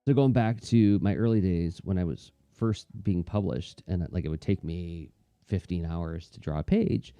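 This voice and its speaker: Deep, very mellow man chatting reflectively. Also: moderate pace, dry recording, lo-fi sound, faint music behind. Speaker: comics artist and teacher hosting a livestream. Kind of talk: casual reflective chat while drawing.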